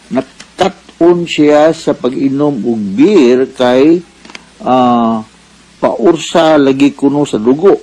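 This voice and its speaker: A man's voice: mid-range voice, darkish tone, rough recording. A man with a Cebuano Filipino accent presenting a radio advice program as its doctor host, explaining things conversationally.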